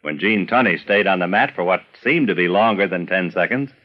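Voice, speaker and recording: A man's voice: deep voice, a little fast, highs cut off. An older man narrating a scripted documentary with some personal reminiscence, as a storyteller of past times.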